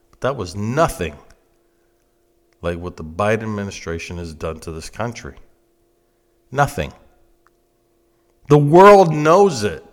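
Male political commentator, 50s, American. Emphatic and blunt, unhurried delivery with big swings in pitch. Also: fairly bright tone. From United States. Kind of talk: opinionated political monologue.

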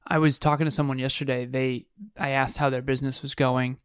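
A man's voice: mid-range voice, level tone, a little fast, smooth, no room sound, studio quality. A man co-hosting a podcast, musing aloud in casual chat with frequent restarts. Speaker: podcast co-host.

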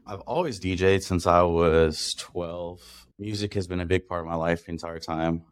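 Dj guy on a podcast, lowish voice, laid back, just telling how he got started. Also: clear, resonant tone. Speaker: guest DJ. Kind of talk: interview answers.

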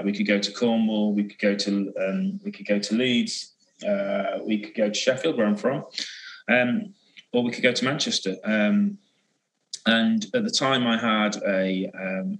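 Casting: male interview guest, lower register, informal, hesitant delivery that circles back. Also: a bit bright, rough recording. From born in England, grew up in the Middle East. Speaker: interview guest, design agency co-founder. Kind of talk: casual conversational recounting.

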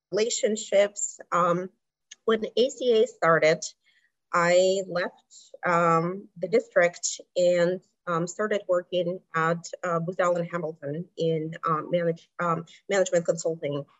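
A middle-aged woman from Kazakhstan talks through her career in English, her second language, pausing often with thoughtful ums. Her voice sits fairly low for a woman. The recording is dry and a little dull, lo-fi. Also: fairly even intonation, dry recording. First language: not English. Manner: thoughtful, many um pauses. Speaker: health plan president. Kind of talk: speaking on a panel.

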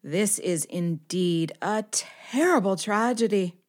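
A woman playing a wise, dignified badger abbess who speaks with formal, measured authority in a children's audio drama. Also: medium pitch, animated. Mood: dignified, grave.

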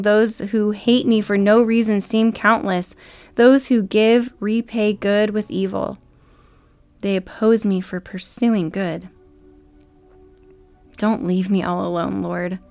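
A woman reading a psalm aloud as a prayer, measured, with pauses between short phrases. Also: mid-range voice, steady tone, darkish tone. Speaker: scripture reader. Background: faint acoustic guitar music.

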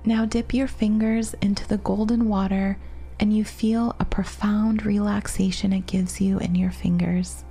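A woman narrating a guided relaxation meditation in a soft, gentle, soothing manner with long pauses. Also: medium pitch, little pitch movement. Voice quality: soft, breathy. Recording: meditation music behind the voice.

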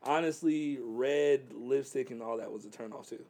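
A man's voice: medium pitch, moderate pace, darkish tone. A man in his thirties chatting casually about dating, laid-back and rambling with lots of fillers. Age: 30s. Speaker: guest.

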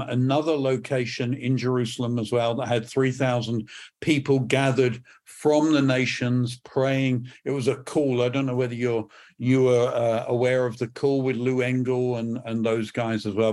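An English man, a podcast guest, speaking in a measured way with frequent ums and restarts. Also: medium pitch, darkish tone. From England. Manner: measured, many fillers and restarts. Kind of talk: podcast interview.

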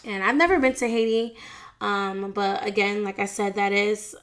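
A woman's voice: medium pitch, fairly even intonation, moderate pace, fairly bright tone. Chatty frank woman vlogger. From United States. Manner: chatty, frank, peppered with fillers and slang. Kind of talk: casual celebrity gossip chat.

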